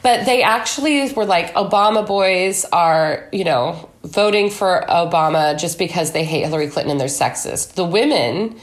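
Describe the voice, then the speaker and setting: A woman's voice: fairly low pitch, moderate pace, hardly any pauses. A woman speaking as an interview guest in a conversational political discussion, with an emphatic, anecdotal way of talking. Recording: echoey room.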